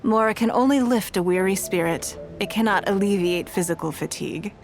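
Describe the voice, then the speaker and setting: An elegant, wealthy businesswoman of a video game, acted by a woman, speaks with refined composure. Her medium-pitched voice is measured and articulate, courteous with a shrewd streak. Music plays behind her. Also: close to an everyday voice. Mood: composed, pleasant. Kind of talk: scripted video game character dialogue. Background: background music.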